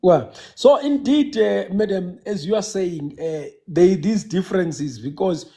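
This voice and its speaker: A South African man whose first language is Xhosa, calling in to a casual live chat and talking haltingly, with many restarts and fillers. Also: sits high, warm tone. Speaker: caller.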